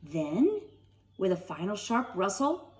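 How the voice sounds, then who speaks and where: Expressive woman reading a storybook, slightly distant. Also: medium pitch, slow pace. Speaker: storybook reader. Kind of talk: children's read-aloud narration with casual asides. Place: sunroom at home.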